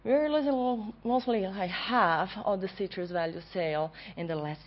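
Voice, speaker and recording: A young adult woman, a graduate student, giving an academic conference presentation in a loud voice in a lecture room. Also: mid-range voice, normal speed. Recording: dull lo-fi recording.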